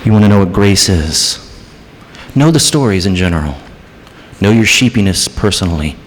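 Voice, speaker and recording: A man preaching a sermon as pastor, dramatic, moving between hushed passages and raised, emphatic ones. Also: low-pitched, animated, moderate pace. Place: church sanctuary. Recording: church hall reverb.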